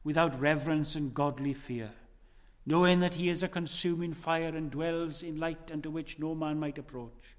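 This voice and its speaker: A man preaching a sermon, slow and weighty, his voice dropping low and quiet for emphasis. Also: fairly even intonation. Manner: slow, weighty preaching with dramatic drops in volume. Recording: long hall reverb.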